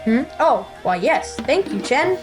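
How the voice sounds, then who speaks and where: A dignified emperor played by a woman speaks with earnest enthusiasm and a formal, declamatory air. The voice sits fairly high for an audio drama ruler, with music clearly playing behind it. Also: very animated, measured pace. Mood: mildly distracted. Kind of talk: audio play acting.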